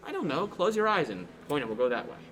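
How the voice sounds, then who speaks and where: A man's voice: a little high, big pitch swings, fast, fairly bright tone. A teenage student actor playing a scornful, bossy young nobleman in a radio play, sneering and dismissive. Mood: impatient. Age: teens. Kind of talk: amateur radio play acting. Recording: noisy amateur home recording.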